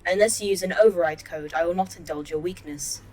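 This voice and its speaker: A woman voicing a polite but dryly reproving artificial intelligence in a sci-fi audio drama, formal, measured and deadpan. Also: on the low side, natural voice, low-quality recording. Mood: coolly reproving. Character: polite, dryly reproving AI running a secret facility. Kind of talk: sci-fi audio fiction acting.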